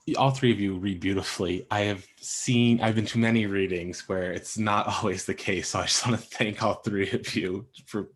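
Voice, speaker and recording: A man moderating a panel casually as host, halting and repeating words as he starts sentences. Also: lowish voice, steady tone.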